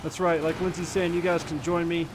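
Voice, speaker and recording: A man's voice: on the high side, level tone, a little fast. A man in his forties from Kentucky, chatting casually and teasing viewers while he plays a racing game on a live stream. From Kentucky, USA. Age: 40s. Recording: game car noise behind voice. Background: racing game engine sounds.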